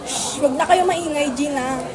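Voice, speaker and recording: Catty, bossy teen girl played by a Filipino woman, high and snappish, with crowd chatter behind. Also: measured pace. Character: catty, jealous, bossy teenage schoolgirl. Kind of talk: amateur school radio drama acting.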